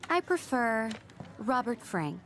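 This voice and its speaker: A woman's voice: on the high side, big pitch swings, takes it slowly, slight background noise, exaggerated voice. A woman playing a snobbish, catty rich art student in a video game, speaking in a precise, smug manner.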